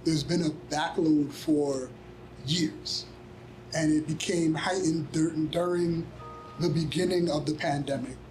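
A man speaking as a panelist in a conference room, measured and a bit halting as he explains. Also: fairly high pitch, steady tone, darkish tone, faint music behind, low-quality recording. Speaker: panelist, community advocate. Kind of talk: panel discussion answer.

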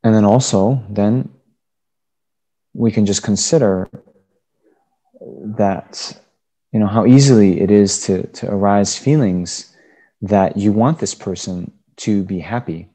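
A man leading a guided meditation as a Buddhist teacher, giving unscripted instructions in halting phrases. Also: fairly low pitch, warm tone, low-quality recording.

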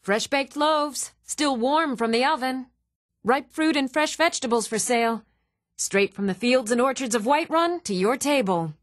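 An actress playing an even-toned townswoman and shopkeeper in a fantasy video game, speaking in measured, clearly enunciated, theatrical phrases. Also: high-pitched, no echo, studio quality, close to an everyday voice. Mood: hawking wares. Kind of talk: scripted video game voice acting.

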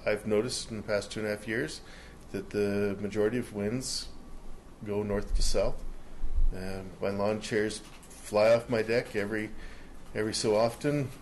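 A man around fifty, speaking slowly and folksily as a resident at a council public hearing, standing at a podium in a council chamber. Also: fairly low pitch, fairly even intonation, darkish tone, slight background noise. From Canada. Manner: slow, folksy storytelling.

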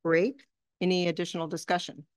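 A woman's voice: lowish voice, level tone, brisk, clear, resonant tone, no echo, studio quality. A woman chairing a school board meeting, running through motions and public comment rules in a procedural way with frequent fillers. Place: large boardroom.

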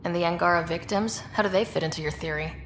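An actress playing a dry, cocky young space explorer in a video game, terse and self-assured. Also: female voice, fairly low pitch, fast, natural voice. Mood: businesslike. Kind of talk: video game dialogue acting. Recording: roomy echo, faint background noise.

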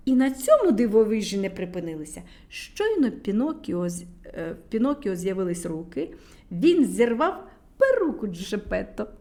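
A woman reading a children's fairy tale aloud, animated and speaking directly to the children listening. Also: high voice. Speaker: storyteller.

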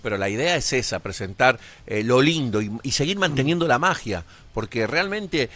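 A man's voice: medium pitch, lively intonation, moderate pace, fairly bright tone. Man around 50, mid voice, rambling chat into a studio mic, reads out the phone number. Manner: chatty, rambling, gives the call-in number. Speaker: co-host of live show. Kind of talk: casual chat on a live show. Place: radio studio with monitors. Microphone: headset and studio mic.

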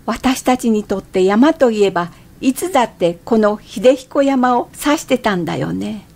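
An irritable middle-aged woman out hiking in a radio drama. Her voice sits a little high and moves widely in pitch. She tells her inner thoughts in an expressive, theatrical way. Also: measured pace, creaky, noisy background. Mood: reflective. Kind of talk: Japanese radio drama acting and narration.

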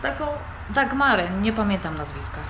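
A woman's voice: medium pitch, sing-song, normal speed, lightly acted. A young woman playing an independent-minded granddaughter, conversational and questioning, in audio drama dialogue. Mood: chatty. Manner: conversational, questioning, mildly protesting. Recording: roomy recording with background noise.

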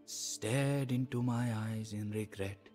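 An actor playing a sorrowful, devout adviser to an Indian emperor, narrating the story in a solemn, measured, theatrical way for a game. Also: male voice, on the low side, steady tone, darkish tone, faint music behind. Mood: sorrowful, hushed. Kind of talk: dramatic scripted storytelling narration.

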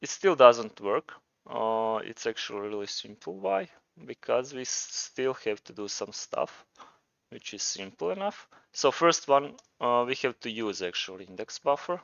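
A man's voice: medium pitch, very animated, moderate pace, vocal fry, fairly bright tone, dry recording, highs cut off. A man with a Croatian accent presenting a programming tutorial, talking informally and thinking aloud as he demonstrates. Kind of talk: casual screencast tutorial narration.

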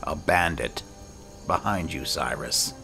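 A man narrating a fantasy game in character, measured, solemn and dramatic. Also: rumbling low, creaky, pushed and bright, soft background music. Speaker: gaming video host playing in character.

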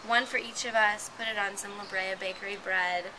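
A woman's voice: medium pitch, fairly even intonation, normal speed. Young woman chef chatting about food, lots of ums, bit far from the mic, noisy outside. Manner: chatty, informative, many fillers. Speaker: personal chef guest. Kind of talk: casual cooking chat. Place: sunny garden patio, then home kitchen.

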